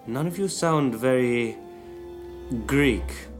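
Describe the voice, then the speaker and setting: An actor playing a formal, polite wartime officer who becomes flustered, in an audio drama. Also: male voice, mid-range voice, takes it slowly, faint music behind, close to an everyday voice.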